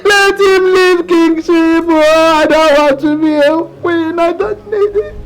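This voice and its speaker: A woman's voice: sits high, sing-song, measured pace, a little mellow, full character voice, low-quality recording. A woman playing a distraught royal mother who begs tearfully and repeats herself, fearing for her only son. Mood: sobbing. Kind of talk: melodramatic film acting.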